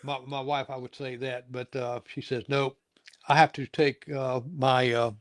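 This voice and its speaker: A man's voice: medium pitch, little pitch movement, unhurried. A man hosting a casual interview, chatting in a rambling, folksy way and often repeating himself. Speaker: talk show host and interviewer.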